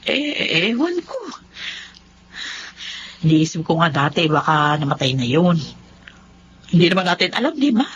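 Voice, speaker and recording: A woman's voice: fairly low pitch, sing-song, a little slow, long pauses, fairly bright tone, muffled recording, slight background noise. A woman playing a bossy but sympathetic middle-aged mother in a radio drama, with a Filipino accent and an exclamatory, nagging manner. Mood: doubtful. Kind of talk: Tagalog radio drama acting.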